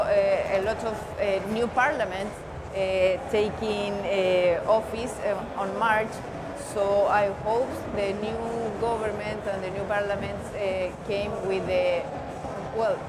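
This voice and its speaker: A woman speaks as a panel guest in a mid-range voice. She hesitates often and rephrases herself mid-sentence. Loud background noise fills the recording. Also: medium pitch. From Chile. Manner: hesitant, self-correcting. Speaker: panelist, association president. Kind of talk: panel discussion answers.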